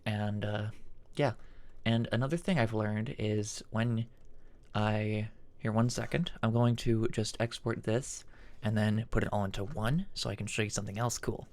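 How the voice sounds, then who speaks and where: Man giving a casual, halting software tutorial. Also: lowish voice, steady tone. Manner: informal, halting, thinking aloud while demonstrating. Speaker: tutorial host.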